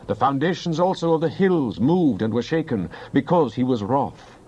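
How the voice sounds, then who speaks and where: A man's voice: mid-range voice, darkish tone. A man giving a dramatized Bible reading as a narrator, in a solemn, declamatory manner. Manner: solemn, declamatory, with archaic scriptural diction. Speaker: scripture narrator. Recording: dull, lo-fi audio.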